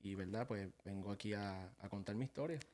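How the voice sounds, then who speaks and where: A young Puerto Rican man with a Puerto Rican accent, telling his story as a podcast guest in a halting way full of fillers. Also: fairly low pitch, a little mellow. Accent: Puerto Rican Spanish. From Puerto Rico. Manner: halting, many fillers and tag questions. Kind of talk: casual interview talk.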